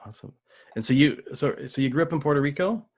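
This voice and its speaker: A man hosting a podcast interview, friendly and a bit halting, often repeating his words. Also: on the high side, animated. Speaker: podcast host.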